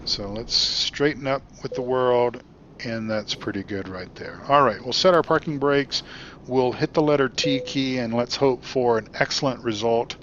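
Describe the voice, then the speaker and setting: A man hosting his gaming channel, chatting casually while he plays, rambling with many ums and uhs, his voice getting hoarse. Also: mid-range voice, moderate pace, a bit bright, highs cut off, a little hiss or hum. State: losing his voice. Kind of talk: casual commentary while playing. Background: truck engine and road sounds.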